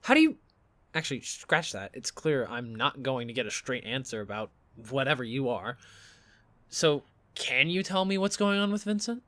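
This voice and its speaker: A man playing a blunt, bewildered and wary young man in an audio drama, with halting, incredulous delivery. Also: medium pitch, lively intonation, fairly bright tone, no room sound, lightly acted. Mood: irritated, wary. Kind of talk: audio fiction podcast acting.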